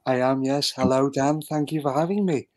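A man's voice: medium pitch, steady tone, a little fast, a little mellow. A man speaking as a podcast guest, modest, polite and hesitant, over an online call. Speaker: guest, amateur actor. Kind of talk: interview chat.

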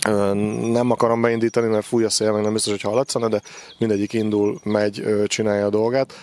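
A man's voice: lowish voice, little pitch movement, a little mellow, slight background noise. A man in his forties giving an unscripted sales walkthrough as a machinery dealer outdoors, with hesitant, repetitive phrasing. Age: 40s. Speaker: farm machinery dealer presenting stock. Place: outdoor gravel machinery yard. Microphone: handheld microphone with windscreen.

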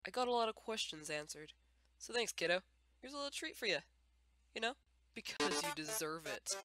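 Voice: mid-range voice, expressive, a little fast, sharp tone. A performer voicing a tired, dry-humored male skeleton with a casual, hesitant manner and a bitter edge in a comic dub. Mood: bitter, restrained. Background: music.

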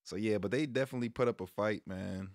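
A man's voice: on the low side, quick pace, a little mellow, no echo, clean studio sound. An American man in his thirties chatting casually about sports to camera in a laid-back, slangy way. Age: 30s. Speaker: streamer and sports vlog host. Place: small room at home, indoors. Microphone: close microphone on a stand, headset on.

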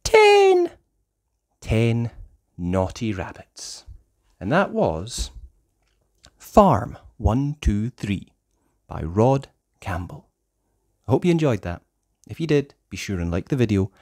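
A storyteller reads a picture book for small children at a very slow pace. The pitch swings widely in a sing-song, exaggerated delivery, sitting in a middle register overall. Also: wildly swooping pitch, a little mellow. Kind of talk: read-aloud for young children, slow counting.

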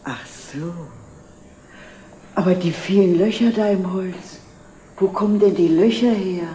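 A woman's voice: lowish voice, measured pace, warm tone, slight background noise, close to an everyday voice. An actress playing a self-assured, naive, well-to-do middle-aged lady in a 1960s TV drama. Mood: curious, assured. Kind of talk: 1960s German TV drama acting. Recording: echoey old TV film sound.

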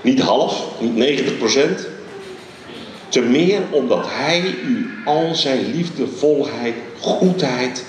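A middle-aged man preaches on a church stage with a handheld mic, his pitch rising and falling with lively emphasis. His voice rings out through a very echoey large hall, with a faint hum of ambience behind it. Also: far from the mic. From Netherlands. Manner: animated, emphatic preaching with many pauses. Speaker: guest preacher. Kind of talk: sermon.

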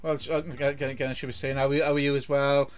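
A man's voice: medium pitch, little pitch movement, racing, clean tone, soft background music. Welsh man, middle-aged, casual rambling game-stream chat. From Wales. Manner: rambling, many restarts and repeated words. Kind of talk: casual live-stream commentary. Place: home room.

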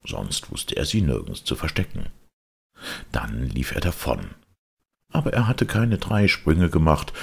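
A man narrating a children's audiobook in a theatrical, expressive way. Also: a bass voice, creaky, husky. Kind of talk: scripted children's story narration.

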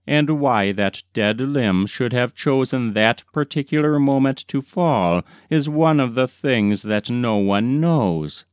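A man narrates a story as an audiobook reader. His delivery is slow and deliberate, with a smooth, resonant voice of middling pitch. The sound is dry and close. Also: no echo. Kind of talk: scripted literary narration.

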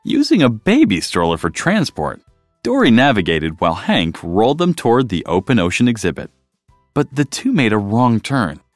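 A man reading a children's storybook aloud as narrator, in an expressive style, close to the mic. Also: lowish voice, normal speed, no room sound, soft background music.

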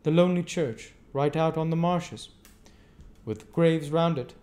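A man's voice: on the high side, a little slow, mellow, pitched above the performer's own voice, close to an everyday voice. A man reading aloud voices a secretive, cunning male stranger who asks probing, sly questions.

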